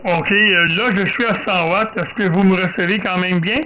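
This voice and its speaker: High-pitched older man, Quebec French accent. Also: fairly even intonation, normal speed, noisy background. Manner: rambling, repeats himself. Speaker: amateur radio operator. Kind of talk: casual radio contact chat.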